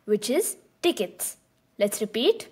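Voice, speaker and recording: Calm woman teaching a language lesson. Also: on the high side, takes it slowly. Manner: calm, clear teaching prompts. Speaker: language teacher and channel host. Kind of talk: scripted beginner lesson narration.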